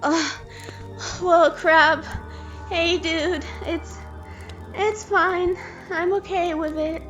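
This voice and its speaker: A woman playing a friendly, excitable young monster boy who talks in boyish slang, in an audio drama. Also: very high voice, extremely slow, muffled recording, faint music behind, exaggerated voice. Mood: weak, dying. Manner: slangy, boyish, says dude and yo.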